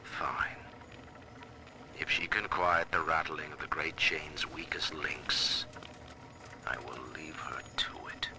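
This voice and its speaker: Deep, slow, pompous male tycoon. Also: fairly even intonation, pushed and bright, a little hiss or hum, full character voice. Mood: grudging, resigned. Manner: pompous, deliberate, disdainful. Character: proud, disdainful middle-aged male city founder in audio diary. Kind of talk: dramatic monologue in video game.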